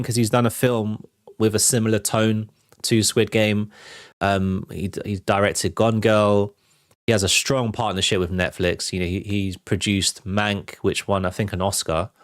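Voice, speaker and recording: Low-pitched man hosting casual entertainment news. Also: no room sound, studio quality. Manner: informal, conversational, enthusiastic fan talk. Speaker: host of a film and TV news show.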